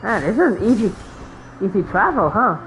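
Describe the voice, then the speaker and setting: A woman with an average-pitched voice comments casually on her game as she plays. Her remarks are offhand and informal, with faint game noise behind her. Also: very animated, a little fast, poor audio. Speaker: game streamer.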